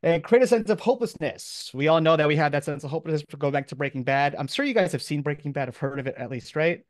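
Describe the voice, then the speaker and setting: Male professor, somewhat high-pitched, dry close sound, informal lecture style, off-the-cuff, conversational. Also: fast, dry recording.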